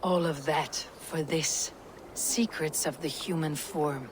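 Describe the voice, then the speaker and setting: A woman playing a stern, resolute Viking warrior in a video game, speaking bluntly and gravely. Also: sits low, fairly even intonation, slow, background noise. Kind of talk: dramatic video game acting.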